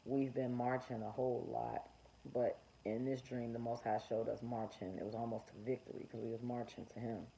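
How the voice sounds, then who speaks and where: A man's voice: medium pitch, flat delivery, fairly quick, creaky, dark tone. A man talking off the cuff in a solo video monologue, circling his points with many fillers and restarts. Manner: rambling, frequent fillers and restarts. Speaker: vlogger sharing religious dreams. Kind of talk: unscripted spoken monologue. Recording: muffled lo-fi recording with faint hiss.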